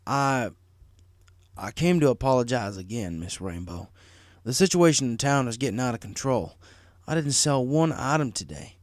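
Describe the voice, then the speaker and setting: A man's voice: mid-range voice, lively intonation, normal speed, no room sound, clean studio sound, close to an everyday voice. Man playing a meek, hesitant stallion shopkeeper. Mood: apologetic. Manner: hesitant, stammering, pleading. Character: meek, anxious adult stallion shopkeeper pony, a worried father.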